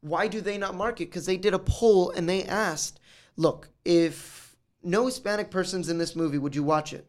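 High-voiced man in animated casual talk. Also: moderate pace. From United States. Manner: animated, acts out quoted exchanges. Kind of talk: casual discussion.

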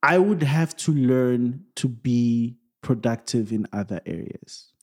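A man co-hosting a relaxed Q&A with his wife, talking things through with rambling examples and playful asides. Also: mid-range voice, slow. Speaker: podcast co-host, singer. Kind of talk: casual couple Q&A chat.

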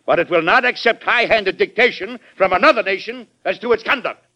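An actor playing a forceful, folksy American president in an old-time radio drama, speaking emphatically. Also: male voice, sits high, brisk. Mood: stern, indignant. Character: forceful, folksy American president, firm yet good-humored. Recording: dull vintage radio recording.

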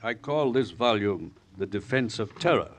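A man's voice: lowish voice, animated, slight background noise, close to an everyday voice. A man playing a middle-aged revolutionary exile, intellectual and theatrical, in old radio drama style. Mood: cold, didactic. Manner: measured, didactic, theatrical. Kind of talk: 1950s radio drama acting.

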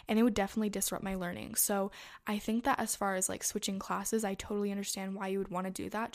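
A young woman giving casual, reassuring advice to viewers, talking in a chatty way full of fillers. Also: medium pitch. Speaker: advice vlogger. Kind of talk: casual advice chat, reading viewer messages.

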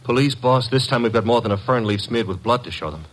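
A man's voice: mid-range voice, quick pace, close to an everyday voice. Wisecracking young detective's sidekick, acted by a man. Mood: urgent. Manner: breezy, wisecracking. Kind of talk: old-time radio detective drama acting. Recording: noisy vintage radio recording.

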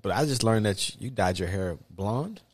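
Low-voiced Southern man, easygoing co-host. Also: animated, normal speed, husky, no echo. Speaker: podcast co-host. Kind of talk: casual chat.

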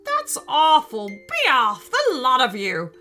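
Woman acting a shrill, bellowing, imperious queen. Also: wildly swooping pitch, slow, faint music behind, exaggerated voice. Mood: cross, bellowing. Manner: shouting, haughty. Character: fierce, imperious queen, easily disgusted.

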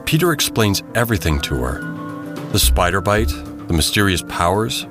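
A man delivers scripted narration for an audio drama as its storyteller. Music plays clearly behind him. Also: deep voice, big pitch swings, moderate pace.

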